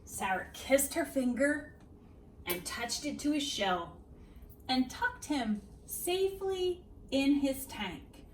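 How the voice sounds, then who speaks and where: A woman reading a children's picture book aloud expressively, with dramatic, deliberate phrasing and emphasised words. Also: high voice, lively intonation, slight background noise, low-quality recording. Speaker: read-aloud storyteller.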